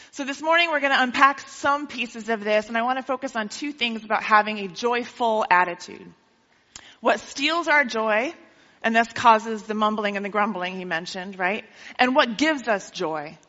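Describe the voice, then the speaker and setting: A woman's voice: fairly high pitch, bright, forceful tone. A woman preaching a sermon in a church, joking and teasing the congregation and laughing often. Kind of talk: sermon with playful jokes. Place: church sanctuary. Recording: lo-fi hall recording with long reverb.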